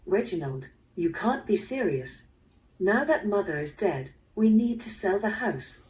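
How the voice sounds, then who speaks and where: An actress playing an impatient, haughty daughter of a wealthy family in a mystery audio drama, proper and disapproving. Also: female voice, medium pitch, very animated, a little slow, darkish tone. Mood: exasperated. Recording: distant, played through a small speaker.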